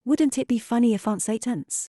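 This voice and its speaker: A woman playing a gentle, composed young English mother, speaking in a measured way in an audio drama. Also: a little high, no echo, studio quality, natural voice. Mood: gentle reading. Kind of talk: audio drama acting, reading aloud.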